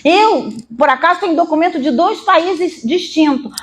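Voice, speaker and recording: High-voiced Brazilian woman preaching. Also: moderate pace. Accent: Brazilian Portuguese. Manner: emphatic, didactic, repeats phrases and checks that listeners follow. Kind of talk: sermon, informal Bible teaching.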